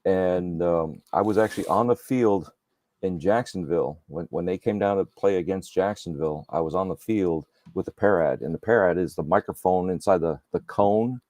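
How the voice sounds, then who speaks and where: A man with a deep, radio-ready voice trades teasing banter as a podcast co-host. He tells stories in an easygoing, relaxed way. The sound is dry and close, with no room around it. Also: moderate pace, dry recording. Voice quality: radio-style voice. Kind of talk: casual chat.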